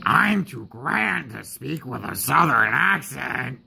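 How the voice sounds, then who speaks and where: A man's voice: medium pitch, very animated, slow, creaky, sharp tone, a little hiss or hum, voice pushed up, exaggerated voice. A man voicing a grand, bossy, short-tempered male express engine in a storybook parody, pompous and demanding. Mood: haughty.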